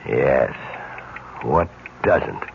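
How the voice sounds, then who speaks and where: Weary, low-voiced husband. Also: male voice, lively intonation, extremely slow, creaky, exaggerated voice. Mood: weary, tense. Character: weary, debt-worried husband lying awake at night. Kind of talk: old-time radio drama acting. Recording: muffled, hissy old radio broadcast.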